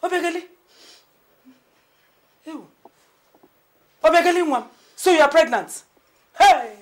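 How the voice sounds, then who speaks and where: A woman's voice: medium pitch, expressive, unhurried, natural voice. An actress playing an elderly, fiery, aggrieved mother-in-law in a film melodrama, with a Nigerian Igbo accent and an emphatic, repetitive delivery. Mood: amazed, contrite. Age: older. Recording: roomy, distant mic with loud noise.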